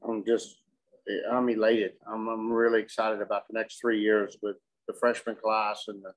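A middle-aged man, a coach answering questions at a postgame press conference in a measured, halting way, often repeating words. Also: lowish voice, monotone, rough recording. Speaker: softball coach. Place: indoor press conference room with curtain backdrop.

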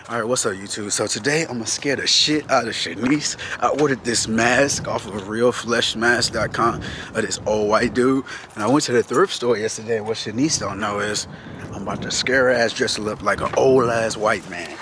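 A man's voice: fairly low pitch, moderate pace, background noise. A young man vlogging to a handheld camera, talking slangy and profane as he eagerly plots a prank. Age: young adult. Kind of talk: casual vlog to camera. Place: outdoors by a parking lot, then indoors. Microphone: handheld camera at arm's length.

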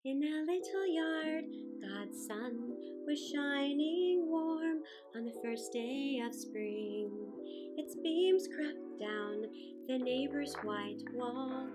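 A woman voicing a gentle, sunny storybook buttercup, tender and unhurried. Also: high-pitched, lively intonation, loud music behind the voice, pitched below the performer's own voice, heavily acted. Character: bright, gentle buttercup flower telling sunny tale.

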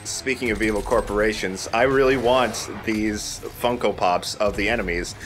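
A man with a fairly low voice gives casual game commentary. He talks as a let's play host, with faint music underneath. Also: steady tone, normal speed, a bit bright.

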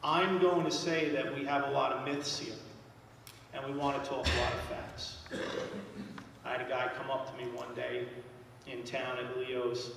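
Loud, blunt man in an echoey hall. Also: medium pitch, little pitch movement, normal speed, creaky, noisy background. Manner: loud, direct, plain-spoken. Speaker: engineer speaking at a town hall meeting. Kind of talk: public presentation at a podium. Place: large school auditorium. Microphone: podium microphone. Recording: echoey hall, heard from far off.

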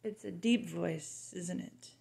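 A woman's voice: fairly low pitch, slow pace, creaky, darkish tone. A woman chatting casually at home while filming, giving short instructions and prompts to a child as she demonstrates a gadget. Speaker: mother filming a family video. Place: indoors in a tiled room at home. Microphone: handheld camera or phone, off screen.

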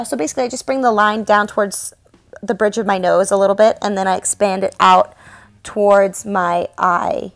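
A young woman talks loosely and chattily as she narrates her own stage makeup and hair routine. Her delivery is casual and self-deprecating, broken by frequent small laughs. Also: mid-range voice. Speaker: stage performer and makeup vlogger. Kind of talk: casual tutorial voice-over.

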